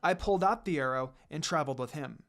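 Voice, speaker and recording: A man's voice: fairly high pitch, quick pace, fairly bright tone. A man voicing a polite, earnest young priestess in a story, explaining things respectfully. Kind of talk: storybook character reading.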